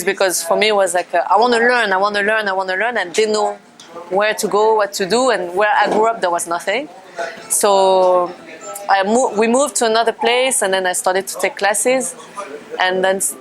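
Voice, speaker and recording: A woman's voice: medium pitch, poor audio. Thirties Frenchwoman recounting her dance career in English, hesitant and filler-heavy. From France. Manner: hesitant, many fillers and restarts. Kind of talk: casual personal account.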